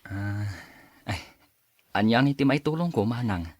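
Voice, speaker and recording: An actor playing a modest, hardworking farmer who speaks politely and chuckles often. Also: male voice, mid-range voice, lively intonation, measured pace, a little mellow, no echo, studio quality. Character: humble hardworking young farmer. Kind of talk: audio drama acting.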